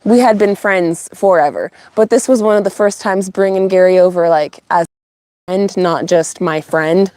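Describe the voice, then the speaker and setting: A middle-aged woman from California hosting a livestream, telling a rambling family story in a casual, animated way and often breaking into laughter. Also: fairly low pitch, normal speed, dry recording. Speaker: channel host.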